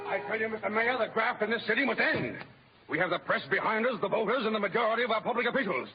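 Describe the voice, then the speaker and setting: A man's voice: high voice, quick pace, background noise, close to an everyday voice. An actor playing an indignant civic committee spokesman in an old-time radio drama, formal and declamatory. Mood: indignant, insistent. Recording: muffled old radio recording.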